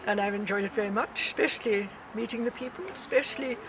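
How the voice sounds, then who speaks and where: A woman from Perth who is on a long protest walk talks with gentle enthusiasm. The outdoor interview carries clear background noise. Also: medium pitch, fast. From Perth, Australia. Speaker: walker on a protest march.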